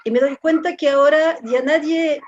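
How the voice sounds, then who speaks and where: A woman with a French accent answers an interviewer in a thoughtful, halting way, circling back on her words before she finds them. Her voice sits fairly high and sounds close and dry. The recording is dull and low-fidelity. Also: fairly even intonation, dry recording. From France. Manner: thoughtful, halting, repeats words while searching. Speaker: interview guest, professor. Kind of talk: reflective conversational interview.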